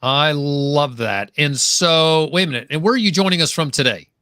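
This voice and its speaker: A man's voice: on the high side, animated, moderate pace, pushed and bright, no room sound, studio quality. A man hosting a live-streamed interview, upbeat and effusive. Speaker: podcast host.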